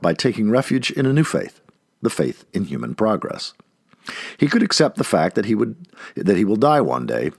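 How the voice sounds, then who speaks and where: A man reading an essay aloud like an audiobook narrator, expressively and with deliberate, emphatic phrasing. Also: lowish voice, vocal fry, husky, dry recording, studio quality.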